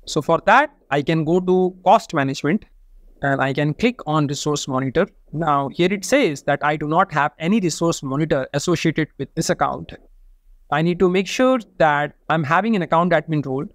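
A man with a somewhat high-pitched voice teaches a data tool in a methodical, step-by-step way. His voice-over is recorded dry and close to the mic, with no room sound. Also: dry recording. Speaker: data engineering instructor. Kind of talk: screen-share software tutorial.

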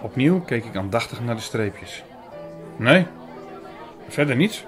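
A man's voice: medium pitch, big pitch swings, unhurried. An actor playing a sceptical, irritated man who narrates his own story in an audio drama. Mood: attentive. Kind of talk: first-person audio drama narration and dialogue. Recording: music and crowd murmur behind.

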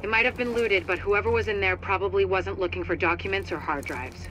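An actress playing a brisk, determined female agent who gives direct mission orders in a video game. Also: medium pitch, noisy background, natural voice. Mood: businesslike. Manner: businesslike, direct. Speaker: mission coordinator. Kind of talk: video game radio briefing.

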